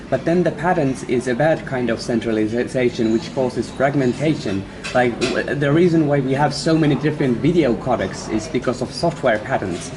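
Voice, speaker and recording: A man's voice: mid-range voice, background noise. A man in a panel discussion, explaining his argument with examples.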